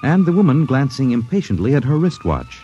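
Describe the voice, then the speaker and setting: A man's voice: mid-range voice, very animated, fairly quick, lo-fi sound. An American man as announcer and narrator, reading scripted 1950s radio drama in a portentous, theatrical manner. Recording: vintage radio broadcast, loud music behind.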